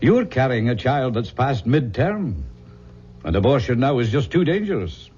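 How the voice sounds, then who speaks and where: An actor playing an earnest, paternal family doctor with an authoritative manner in an old-time radio drama. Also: male voice, mid-range voice, close to an everyday voice. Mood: firm, paternal. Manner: measured bedside authority, expressive. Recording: dull, noisy vintage radio recording.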